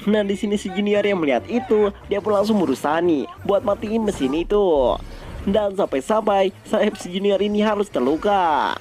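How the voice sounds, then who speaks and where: A man's voice: sits high, animated, normal speed, a little mellow, faint music behind. A man narrates a story recap. His delivery is colloquial and conversational, like casual scripted storytelling for an online video.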